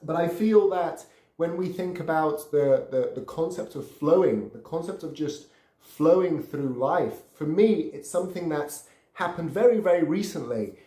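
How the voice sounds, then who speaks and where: A man's voice: a little high, animated, normal speed, warm tone, echoey room. A man in his thirties from Brazil, hosting a podcast and telling his life story in an earnest, casual way, often repeating words as he searches for what to say. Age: 30s. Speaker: podcast host.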